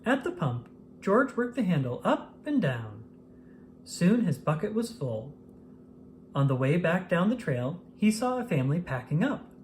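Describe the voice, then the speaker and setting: A narrator reading a children's picture book aloud in an expressive, scripted storytelling style. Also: medium pitch, unhurried, slight background noise. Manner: expressive, acts out exclamations and dialogue.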